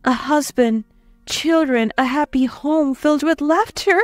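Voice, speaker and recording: A woman reading a first-person dramatic story as narrator, in an expressive, emotive way. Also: high-pitched, unhurried, dry recording. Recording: dry close voice over faint music. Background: soft background music.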